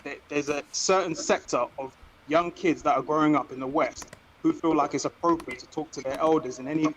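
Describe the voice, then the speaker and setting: A man's voice: on the high side, steady tone, a little fast, crowd noise, low-quality recording. A man from Africa, arguing insistently and defensively in a heated panel debate, often repeating himself. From West Africa. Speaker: panel guest.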